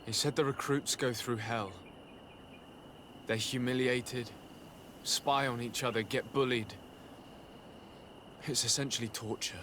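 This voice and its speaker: A man playing a calm, diplomatic adventurer in a video game cutscene, with a measured, polite, earnest delivery. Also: mid-range voice, a little hiss or hum. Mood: grave. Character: calm, diplomatic male adventurer investigating abuses.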